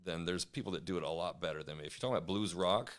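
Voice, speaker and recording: A man's voice: low voice, very fast. A man, a musician guest talking in a laid-back, self-deprecating way. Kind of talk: casual interview chat.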